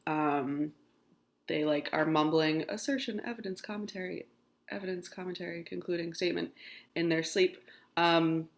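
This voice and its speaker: A woman's voice: fairly low pitch, fairly even intonation, normal speed. A young woman teacher with a crackly morning voice, talking casually to her class with lots of ums. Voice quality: gravelly. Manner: casual with many ums, encouraging. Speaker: high school teacher. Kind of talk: recorded lesson to students.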